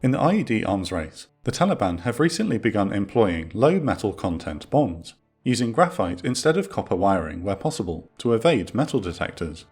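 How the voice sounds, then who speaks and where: A man narrating a scripted military documentary in a measured, dramatic storytelling manner. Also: on the low side, darkish tone, slightly rough. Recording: music under the voice. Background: background music.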